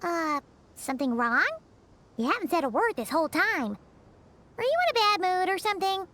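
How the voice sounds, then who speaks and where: A woman voicing a small, childlike fairy companion in a video game, squeaky, chatty, excitable and easily nervous. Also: moderate pace, slight background noise. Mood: curious. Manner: refers to self in third person, exclaiming. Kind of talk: video game character dialogue.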